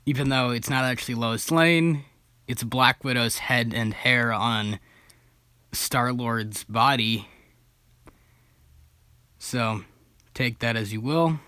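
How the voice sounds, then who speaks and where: A young man with a bright, forward voice recorded close and dry. He talks casually as a video host reacting to footage, informal and amused, gently poking fun at himself. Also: mid-range voice, takes it slowly, long pauses, smooth, no room sound. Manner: informal, amused, self-deprecating.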